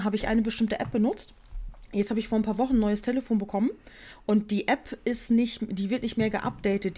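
A woman's voice: mid-range voice, dark tone. A woman co-hosting a casual podcast, explaining things at length with many fillers. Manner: rambling, filler-heavy explanations. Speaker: podcast co-host. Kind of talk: casual chat.

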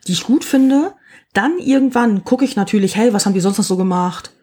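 A husky woman in her forties talks in a loose, casual chat. She is frank and blunt and drops slang freely. Her voice has a slightly rough, breathy edge. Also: medium pitch, animated, fairly quick, warm tone.

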